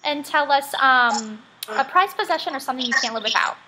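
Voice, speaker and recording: Young woman interviewer, high voice, upbeat questions, noticeable background noise. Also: animated, a little fast, pushed and bright. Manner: upbeat, encouraging, many short acknowledgments.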